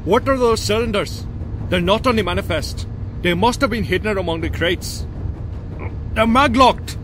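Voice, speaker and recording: A man playing a sharp-eyed, sardonic soldier in a sci-fi audio drama. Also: high-pitched, moderate pace, noisy background, natural voice. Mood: alarmed.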